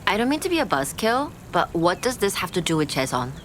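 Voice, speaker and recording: A woman's voice: on the low side, very animated, fairly quick, fairly bright tone, slight background noise. An actress playing a guarded, skeptical woman in an audio drama, speaking in blunt, clipped phrases with a protective edge. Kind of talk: naturalistic audio drama acting.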